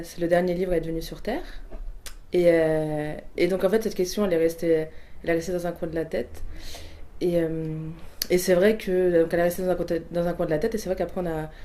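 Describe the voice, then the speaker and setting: A woman's voice: lowish voice, mellow. A woman in her twenties giving a personal testimony, hesitant, with many fillers and restarts. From Portuguese family background. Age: 20s. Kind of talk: personal testimony, answering questions.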